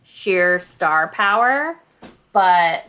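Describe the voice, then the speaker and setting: A woman's voice: lowish voice, expressive, extremely slow, pushed and bright. A woman hosting a hockey trivia quiz on a podcast in casual, conversational talk.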